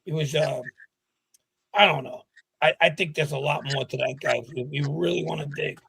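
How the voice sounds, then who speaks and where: A man's voice: on the high side, moderate pace, gravelly, fairly bright tone, slightly rough, no room sound. A man co-hosting a live stream, talking trash in a blunt, crude, casual way. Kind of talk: casual profane chat.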